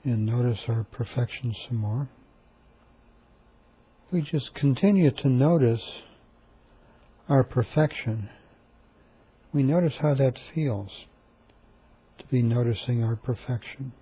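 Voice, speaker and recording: A man leading a guided meditation, speaking calmly and softly with long, repetitive pauses. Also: medium pitch, breathy edge.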